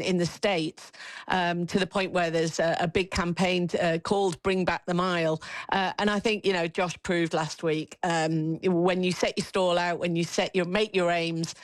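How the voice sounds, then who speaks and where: A middle-aged woman with an English accent, a former athlete talking as a sports pundit in a chatty, halting way that repeats words. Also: on the low side, dry recording.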